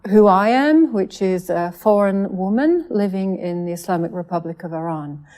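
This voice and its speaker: A middle-aged woman from Scotland speaks to camera in a measured, careful way. Her voice is mellow and soft-edged, and she often pauses on fillers and restarts her sentences. Her pitch sits in an ordinary middle range. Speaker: writer and religious student. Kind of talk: speaking to camera, personal commentary.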